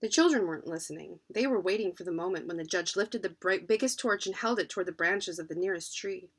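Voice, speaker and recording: An adult woman narrating a children's storybook in an expressive reading style. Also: lowish voice, quick pace, breathy edge. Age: adult, parent. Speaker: children's book reader.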